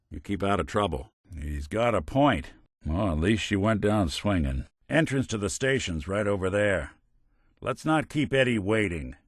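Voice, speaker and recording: An actor playing a world-weary old synthetic private detective in a video game, wry and kindly, with dry, old-fashioned gumshoe slang. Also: male voice, fairly low pitch, a bit bright, no room sound, full character voice. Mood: warm, easygoing, then firm, weary. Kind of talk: video game character acting, 1940s noir detective patter.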